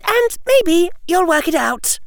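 Man putting on a very high schoolgirl witch. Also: dry recording, studio quality. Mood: sharp, annoyed. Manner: sharp, clipped, halting. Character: prickly, proud young schoolgirl witch.

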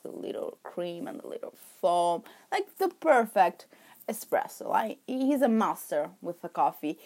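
A young woman from Italy, speaking English with an Italian accent, chatting casually to camera in a rambling, self-correcting way. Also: fairly low pitch. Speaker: vlogger.

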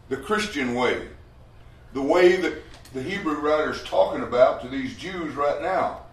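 A man teaching a Bible class in a loud, emphatic preaching voice, often asking his listeners rhetorical questions. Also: medium pitch, distant mic. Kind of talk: Bible study sermon. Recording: roomy hall, mic from afar, faint noise.

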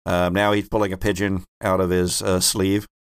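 A man's voice: sits low, level tone, moderate pace, smooth, no room sound, studio quality. A man narrating an improvised tabletop role-playing game as its game master and host.

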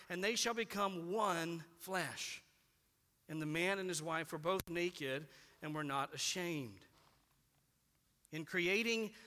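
Bright-voiced preacher in a reverberant hall. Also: male voice. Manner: earnest, building emphasis then dropping low on key words. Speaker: pastor. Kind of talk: sermon.

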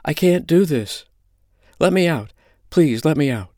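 A man's voice: on the low side, lively intonation, unhurried, darkish tone, no room sound, clean studio sound, pitched above the performer's own voice. A man voicing an anxious, submissive woman who speaks meekly in an audiobook story. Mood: panicking. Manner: meek, obedient, fearful.

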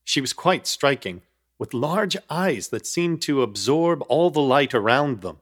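A young man tells his story in a radio play with dry, measured humor, and his pitch rises and falls expressively from line to line. He sounds like a wry narrator caught up in a strange night. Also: expressive. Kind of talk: first-person radio drama narration.

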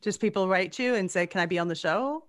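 A woman's voice: mid-range voice, fast talker, dry recording, studio quality. A woman in her forties hosting a podcast interview, chatty and effusive, laughing often. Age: 40s. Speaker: podcast host. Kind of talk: casual interview chat.

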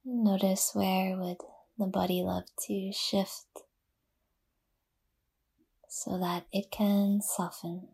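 Female meditation guide for yoga nidra. Soft, dark, breathy tone, half-whispered, unhurried phrasing with generous pauses. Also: medium pitch, level tone.

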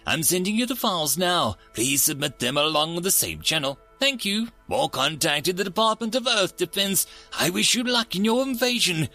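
A man's voice: high voice, lively intonation, moderate pace, hardly any pauses, sharp tone, soft background music, pitched above the performer's own voice, heavily acted. A man voicing a female government clerk in a sci-fi story, politely unbothered and officious, with a breezy bureaucratic delivery. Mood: bored, officious.

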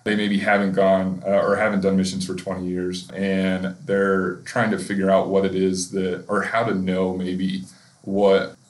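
Male podcast host, very deep voice, affirming and rambling, roomy echo. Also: monotone, unhurried. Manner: friendly, affirming, rambling questions. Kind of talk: casual podcast interview. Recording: echoey room.

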